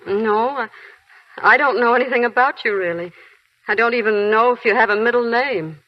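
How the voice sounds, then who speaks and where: An actress in a 1940s radio play voicing a loving young newlywed woman who speaks softly and earnestly. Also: on the high side, moderate pace, natural voice. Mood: tender. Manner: soft and earnest. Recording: dull old radio broadcast.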